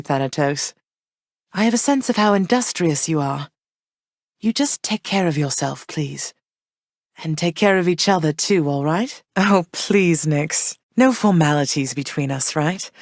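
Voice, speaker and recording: A gracious underworld queen and loving mother, speaking in a lowish, calm voice with warm reassurance. Her lines are polished game dialogue recorded dry and close, with no room sound. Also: no echo. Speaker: game character.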